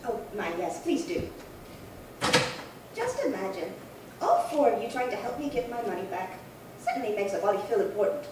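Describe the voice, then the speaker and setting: A woman's voice: mid-range voice, big pitch swings, moderate pace, long pauses, darkish tone, lightly acted. A woman playing a chatty, fussy elderly spinster in an old-time radio crime drama, rambling off into small details. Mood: flustered, polite. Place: live stage radio-show performance in roomy space. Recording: echoey room from far off, faint noise.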